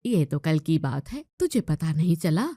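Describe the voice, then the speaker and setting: Woman as a nosy, needling, low-voiced neighbour auntie. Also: very animated, measured pace, mellow, no room sound, clean studio sound, full character voice. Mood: gossipy. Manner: gossipy, needling. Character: nosy, critical middle-aged neighbour woman.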